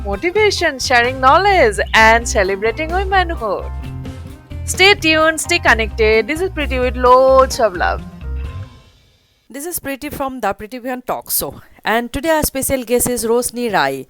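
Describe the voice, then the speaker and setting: A woman's voice: on the high side, fairly bright tone. Woman from India, radio talk show host, upbeat, studio mic, faint music behind. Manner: upbeat scripted intro, brief backchannels. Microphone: studio mic on stand.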